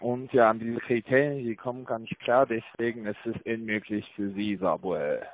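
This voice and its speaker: Halting man on a narrow phone line. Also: on the low side. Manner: halting, stumbling, broken German phrasing. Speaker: call centre agent. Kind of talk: casual phone conversation.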